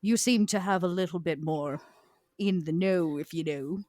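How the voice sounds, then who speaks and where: A woman playing a poised, probing vampire outsider, speaking in a deliberate, wryly formal, coaxing way in a roleplaying game. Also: lowish voice, a little mellow, no room sound, studio quality, lightly acted. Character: poised, probing, socially outcast female Toreador vampire. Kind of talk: improvised in-character roleplay.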